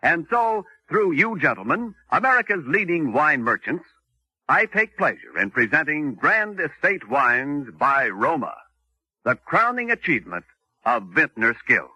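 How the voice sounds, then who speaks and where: A man's voice: a little high, big pitch swings, measured pace, bright, forceful tone, slightly rough, no room sound, poor audio. A man announcing in a scripted 1940s radio sponsor style, with grand, emphatic, formal salesmanship.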